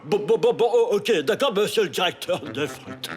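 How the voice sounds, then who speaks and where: Flustered, stammering cartoon canteen cook. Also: male voice, high-pitched, lively intonation, moderate pace, darkish tone, faint music behind. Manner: stammering, deferential. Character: flustered, servile man working as school canteen cook. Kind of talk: animated cartoon acting.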